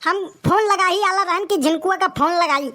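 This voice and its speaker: Casting: woman as pushy young gangster boy for comic cartoon, Awadhi accent, piercingly high and bright, full of swagger. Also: normal speed. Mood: scheming. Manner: bragging, pushy. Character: scheming, boastful young male village wannabe gangster.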